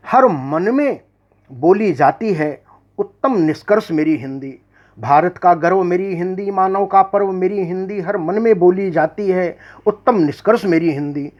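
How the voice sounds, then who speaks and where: A man recites poetry in an unhurried, declamatory way and often repeats a line before moving on. His formal manner fits a poet addressing an audience. The recording sounds dull and lo-fi, with the highs rolled off. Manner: measured, declamatory, repeats verse lines. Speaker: poet and magazine editor.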